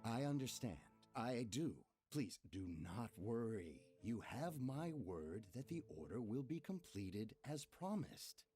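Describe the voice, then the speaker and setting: A man's voice: medium pitch, sing-song, slow pace, few pauses, warm tone, faint music behind. A man voicing a scheming male merchant who is ingratiating and eager to please. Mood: fawning. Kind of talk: game voice acting.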